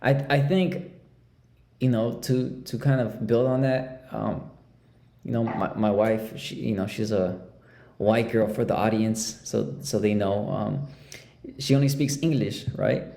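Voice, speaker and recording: A young man with a low, gravelly voice and a mellow tone, hosting a relaxed conversation. He talks in halting bursts, restarting his sentences, and the room rings with echo. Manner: halting, many restarts and fillers. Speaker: podcast host. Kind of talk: casual conversational interview.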